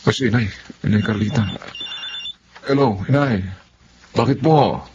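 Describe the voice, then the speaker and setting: A man's voice: mid-range voice, measured pace, noisy background, close to an everyday voice. A man playing an earnest, wounded husband in a Filipino radio drama, with emotive delivery. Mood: worried. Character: worried, betrayed husband Wilson, earnest and wounded. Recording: dull radio-drama recording, loud music.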